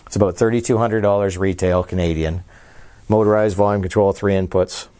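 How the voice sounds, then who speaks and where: A man with a low, warm and mellow voice presents a piece of audio equipment in a casual demo. He explains each switch and feature as he goes, with an easy, enthusiastic manner. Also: fairly even intonation, fairly quick, clean tone, no room sound. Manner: enthusiastic, explains features while handling gear. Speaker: audio dealer presenting a product.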